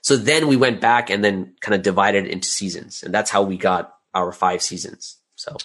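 A man with a fairly low voice answers questions in an interview. He talks casually and candidly, in a conversational, anecdotal style. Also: normal speed, fairly bright tone. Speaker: interview guest, audio drama creator.

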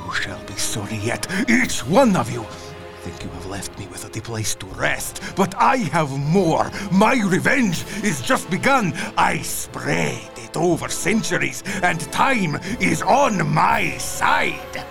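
An actor playing a menacing ancient male vampire count in an audio drama, making slow, gloating threats in a husky, gravelly voice. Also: on the high side, sing-song, music in the background, exaggerated voice. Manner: slow, gloating threats with sudden drops and swells.

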